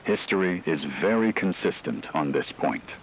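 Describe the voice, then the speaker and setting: A man reading a scripted emergency news bulletin in a grave, measured, formal way, as a radio announcer. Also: low voice, lively intonation, a little hiss or hum, rough recording.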